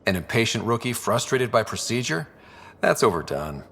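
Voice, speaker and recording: An actor playing a young human expedition leader in a video game, curious and dryly teasing, with a husky, conversational voice. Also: male voice, mid-range voice, big pitch swings, normal speed, fairly bright tone, heavily acted. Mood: inquisitive. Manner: conversational, inquisitive, dry. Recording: long reverb.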